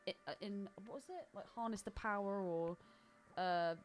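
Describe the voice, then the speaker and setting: A woman's voice: medium pitch, moderate pace. A young British woman co-hosting a podcast, joking crudely and irreverently in casual film chat. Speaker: podcast co-host.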